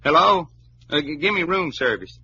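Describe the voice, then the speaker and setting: An actor playing a folksy, hot-blooded adventurer with a big appetite and a Southern drawl, in old-time radio drama style. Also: male voice, fairly high pitch, animated, normal speed, bright, forceful tone, heavily acted. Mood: eager, brash. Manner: folksy drawl full of colorful slang. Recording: muffled, hissy old radio recording.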